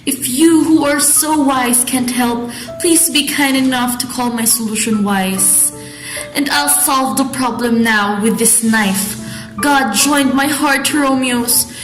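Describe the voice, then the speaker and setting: A desperate, defiant young noblewoman played by a woman in a student radio drama. Her voice sits rather high and carries a roomy echo. Music plays clearly behind her. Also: takes it slowly. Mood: desperate, determined. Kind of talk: student radio drama acting of modernised Shakespeare.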